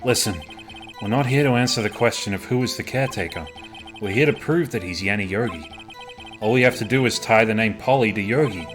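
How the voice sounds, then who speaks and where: A man's voice: lowish voice, brisk, music in the background, natural voice. A man voicing an earnest, insistent young defense lawyer arguing emphatically in an amateur video game dub. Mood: determined. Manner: emphatic courtroom argument.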